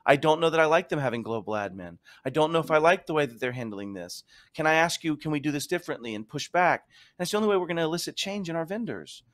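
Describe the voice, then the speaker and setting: A man's voice: on the high side, lively intonation, fast, hardly any pauses, fairly bright tone. A man hosting a casual chat, talking in an enthusiastic, rambling way. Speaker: podcast host.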